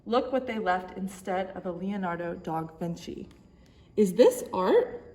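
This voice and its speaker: Woman reading loud, urgent storybook dog officials. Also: medium pitch, measured pace, lightly acted. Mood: alarmed, urgent. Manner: exclaiming, urgent. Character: alarmed, loud dog museum director and police chief. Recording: echoey room.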